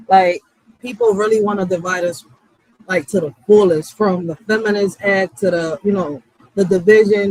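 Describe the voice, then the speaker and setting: A woman's voice: medium pitch, darkish tone, breathy edge, rough recording. A woman giving a heated, profane casual monologue, blunt and emphatic, using street slang and repeating phrases for stress. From Puerto Rico or Puerto Rican background. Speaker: channel host.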